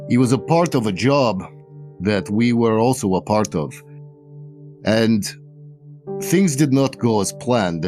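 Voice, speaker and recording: A grave, low-voiced patron of a thieves guild, put on by a man running the game. He weighs each word slowly and deliberately, with a faint bed of music beneath. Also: darkish tone. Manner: slow, careful, deliberate warnings. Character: grave, measured male guild benefactor.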